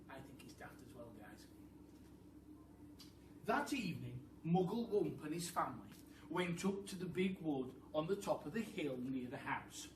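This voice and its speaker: A man telling a story to children like a primary teacher, informal, with chatty asides straight to the listeners. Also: fairly high pitch. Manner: informal, addressing children directly. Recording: roomy, noisy, distant microphone.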